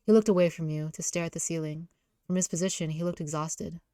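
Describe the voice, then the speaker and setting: A woman with a low voice narrates a visual novel aloud. The sound is dry and close, as she reads the story prose for a readalong. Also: fairly even intonation, quick pace, dark tone, no echo.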